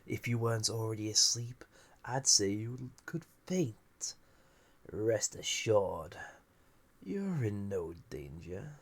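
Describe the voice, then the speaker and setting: Casting: male actor as an ancient banished sorcerous villain for audio roleplay; husky, gravelly, theatrical, with wide swings of intonation. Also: fairly low pitch, a bit bright, heavily acted. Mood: teasing, soothing. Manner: musing, theatrical, drifting into breathy near-whispers. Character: ancient banished male villain, magical being, wry, flirty, menacing. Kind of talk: scripted audio roleplay, intimate monologue to listener.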